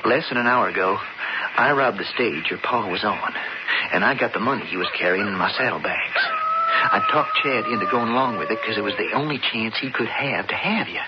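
A man's voice: on the low side, expressive, close to an everyday voice. A muffled, very noisy old radio recording carries a man acting as a young cowboy outlaw. He is intense and persuasive, with a folksy frontier turn of phrase. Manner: folksy, drawn-out frontier talk. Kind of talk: radio western drama acting.

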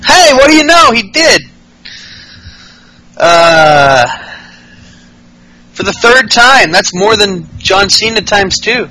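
A young man in his late twenties with a high, very bright voice chats casually and profanely about wrestling. He rambles and restarts often, and a faint hiss sits under the recording. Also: expressive, slow pace, pauses often, rough recording. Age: 20s. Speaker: podcast co-host.

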